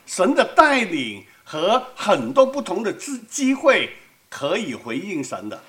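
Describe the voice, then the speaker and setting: A woman's voice: mid-range voice, huge pitch swings, unhurried, a bit bright. Cantonese accent lady preaching, bouncy up and down voice, keeps asking the crowd stuff. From Hong Kong. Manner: conversational, asks the audience questions. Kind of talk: sermon with live interpretation.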